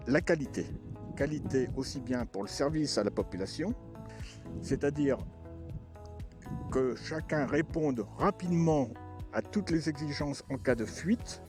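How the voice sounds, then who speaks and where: Man, local official, short deliberate phrases, somewhat high voice, faint music behind. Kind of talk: institutional statement. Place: on location outdoors in a village.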